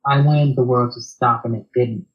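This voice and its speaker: A soft-spoken man, talking reflectively as an interview guest, hesitant with many fillers and restarts. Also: medium pitch, fairly even intonation, normal speed, highs cut off.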